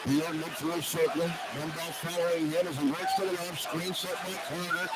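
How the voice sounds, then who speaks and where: Higher-pitched man calling basketball over loud noise. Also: fairly even intonation, moderate pace. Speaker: play-by-play announcer. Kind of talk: live radio basketball commentary. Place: basketball arena, courtside. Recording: very noisy arena broadcast. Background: loud arena noise.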